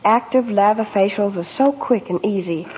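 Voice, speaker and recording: A woman's voice: medium pitch, moderate pace, a little hiss or hum. A young woman from New York giving a scripted, genteel 1940s radio testimonial as a guest actress. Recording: dull old radio, long hall reverb.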